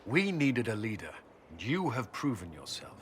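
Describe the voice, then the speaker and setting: A man's voice: mid-range voice, very animated, normal speed, slight background noise, natural voice. A man playing an earnest, stern military commander in a fantasy video game, speaking in a clipped, formal, authoritative way. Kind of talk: video game cutscene acting.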